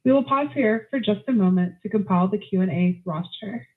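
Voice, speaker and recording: A woman's voice: medium pitch, brisk. A woman working as a conference call operator, reading scripted announcements in a formal, procedural manner. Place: telephone conference. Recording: narrow phone line audio.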